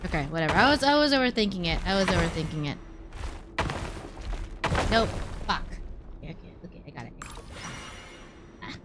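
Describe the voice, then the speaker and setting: A woman's voice: lowish voice, big pitch swings, fairly quick, pauses often. A woman streaming a video game, reacting casually in short muttered asides with some swearing. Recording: game music behind the voice. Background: game music.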